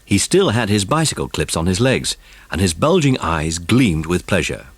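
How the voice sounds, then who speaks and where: A man's voice: low voice, big pitch swings, moderate pace, husky, dry recording, heavily acted. A man narrating a dramatised children's storybook. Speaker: audiobook narrator.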